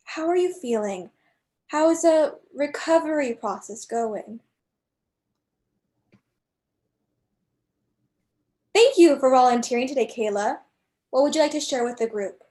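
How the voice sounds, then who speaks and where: A woman playing a firm, stern doctor who leads group therapy in a staged play reading, questioning in a measured way. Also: high-pitched, animated, darkish tone. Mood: composed.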